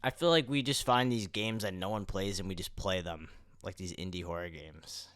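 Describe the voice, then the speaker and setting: Young male podcast co-host, low clean voice, dry sound, chatty with announcer touches. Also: moderate pace, a bit bright, clean tone, no echo. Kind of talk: casual podcast chat.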